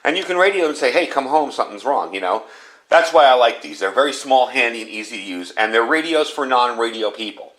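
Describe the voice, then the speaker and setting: A man's voice: mid-range voice, lively intonation, brisk, a bit bright. American guy doing a laid-out casual gear review, plainspoken and chatty, explains stuff simply. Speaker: product reviewer.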